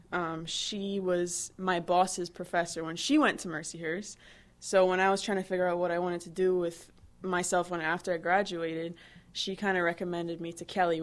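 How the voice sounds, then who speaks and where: A woman in her twenties from Barbados, answering interview questions in a chatty way, with many fillers and restarts. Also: fairly low pitch. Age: 20s. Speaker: interviewee, new employee.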